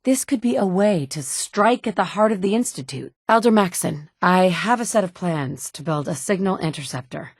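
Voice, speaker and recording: Young mother hunting for her stolen son. Blunt, dry sarcasm, slightly low voice, dry booth recording. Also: dry recording. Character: determined young mother searching wasteland for kidnapped son. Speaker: player character voice actress. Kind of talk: video game voice lines. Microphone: studio mic.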